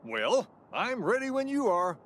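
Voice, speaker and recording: A cantankerous old shopkeeper, swooping pitch. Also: male voice, huge pitch swings, a little slow, a bit bright, exaggerated voice. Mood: gruff, boastful. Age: older. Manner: folksy, blustering. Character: cantankerous old shopkeeper man, brash and gung-ho. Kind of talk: video game character acting.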